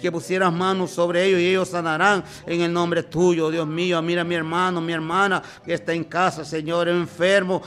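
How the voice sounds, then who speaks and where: Fervent high-voiced man praying. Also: little pitch movement, normal speed, hardly any pauses, fairly bright tone. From former drug and alcohol user. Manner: repeats "Señor" and "aleluya" in an impassioned, pleading rhythm. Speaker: preacher leading prayer. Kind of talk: fervent spoken prayer.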